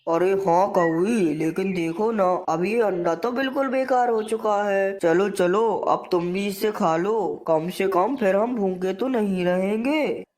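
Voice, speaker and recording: A woman's voice: mid-range voice, a little slow, few pauses, darkish tone, close to an everyday voice. A woman voicing a lazy, gluttonous male crow husband in a cartoon, complaining and justifying himself. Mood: greedy, excited. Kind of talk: Hindi cartoon dialogue.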